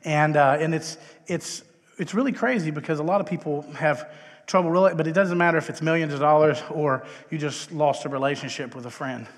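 Man, middle-aged, Texan accent, slightly high voice, casual chapel speaker, self-deprecating, conversational, long hall reverb. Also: brisk. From Texas, United States. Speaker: guest speaker at a chapel, filmmaker. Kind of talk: informal chapel talk, personal testimony. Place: large indoor chapel, live talk.

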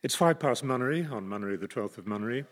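A man playing a formal, deadpan radio announcer narrating scripted comedy. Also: mid-range voice, quick pace, lightly acted.

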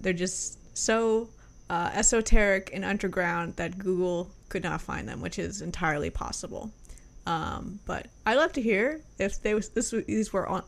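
A woman hosting a casual music review chat, relaxed and loose, swearing offhand between fillers. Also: mid-range voice, gravelly. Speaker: music review podcast host.

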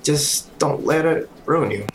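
A man playing an easygoing, reassuring colleague in an audio drama, with a casual, understated, gently playful way of talking. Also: fairly high pitch, slow pace, a little hiss or hum. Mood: encouraging. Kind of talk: audio drama acting, naturalistic dialogue.

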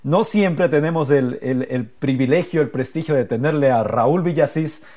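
A man's voice: mid-range voice, normal speed, dark tone. A man hosting a live motivational podcast, speaking earnestly and directly to the viewers. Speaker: podcast host.

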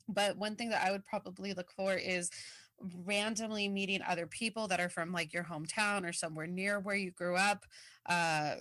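Woman podcast co-host, casual and rambling, mid pitch, dry close mic. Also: a bit bright, no room sound. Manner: chatty, filler-heavy, laughs easily. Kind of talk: casual podcast chat, reading listener mail.

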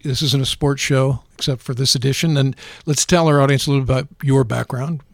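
Middle-aged host dude, gravelly voice, chatty questions, dry close sound. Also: male voice, mid-range voice, fairly even intonation, brisk, dry recording. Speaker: podcast host. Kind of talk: podcast interview.

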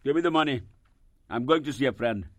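A man's voice: mid-range voice, quick pace, dry recording, natural voice. A man playing an outraged, embittered middle-aged husband in an audio drama, heated and accusing. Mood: impatient.

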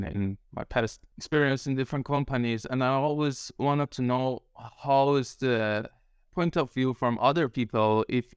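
Man from Iran, guest tech lead, halting, crisp dry tone. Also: mid-range voice, dry recording, lo-fi sound. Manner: hesitant, many fillers. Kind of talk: casual panel talk.

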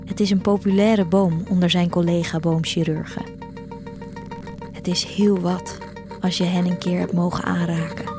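A woman's voice: on the low side, level tone, normal speed, music in the background, natural voice. A woman playing a yearning teenage girl who tells her own story in a scripted audio drama. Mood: reflective, intimate. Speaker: narrator and voice actor of an audio drama. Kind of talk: scripted first-person narration, audio drama.

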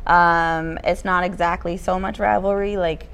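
Chatty Canadian-born woman, lower voice. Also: steady tone, unhurried, a bit bright, clean tone. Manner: chatty, laughs easily, lots of fillers. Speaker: guest. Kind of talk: casual interview. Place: ice rink.

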